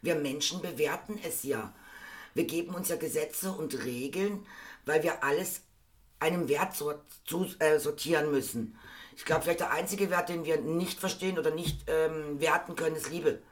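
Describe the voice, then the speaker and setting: A man hosting a casual podcast, hoarse and a bit worn out, rambling through his thoughts with the odd chuckle. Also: on the high side, moderate pace. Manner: rambling, self-mocking, chuckling. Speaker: podcast host.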